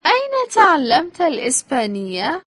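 A woman reading short phrasebook sentences slowly and clearly for language learners. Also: high voice, wildly swooping pitch, a bit bright, no echo, clean studio sound. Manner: slow, clearly spaced phrases. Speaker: phrasebook reader.

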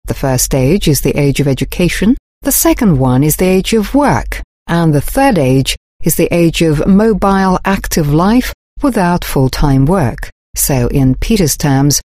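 A woman playing an older female journalist, a polyglot, answering questions in a measured, explanatory way in a scripted textbook dialogue. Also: low-pitched, sing-song, dark tone, dry recording, clean studio sound. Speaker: interviewee.

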